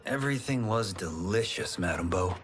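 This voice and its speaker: Earnest young farmhand, content and measured, lowish expressive voice, video game cutscene. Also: male voice, background noise. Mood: good-natured. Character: humble, content young farmer and martial artist.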